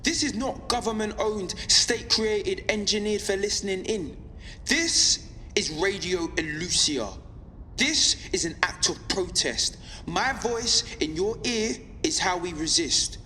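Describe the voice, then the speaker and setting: A young male rebel speaks out over illicit radio in a high, forceful voice. His audio drama monologue is measured and impassioned, ringing with long reverb over faint noise. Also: sing-song, heavily acted. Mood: defiant, solemn. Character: defiant young rebel pirate radio broadcaster. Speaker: underground radio broadcaster.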